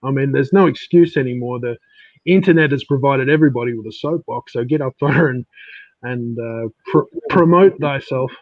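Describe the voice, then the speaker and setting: A man in casual podcast chat, speaking in a halting but encouraging way. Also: medium pitch, no echo, highs cut off.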